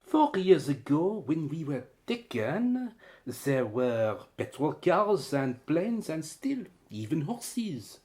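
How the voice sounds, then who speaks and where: An actor playing a wary, courteous stranded foreign man with careful, halting phrasing in a radio adventure. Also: on the high side, very animated, darkish tone. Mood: reflective. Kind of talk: radio adventure drama acting.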